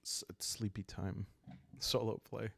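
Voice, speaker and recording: A man speaking softly and calmly, improvising a story for a solo roleplaying game and thinking aloud hesitantly. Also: fairly low pitch, creaky, husky, dry recording. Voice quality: soft, calm. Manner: hesitant, thinking aloud with long pauses and sighs. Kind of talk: calm unscripted storytelling for sleep, journaling game playthrough.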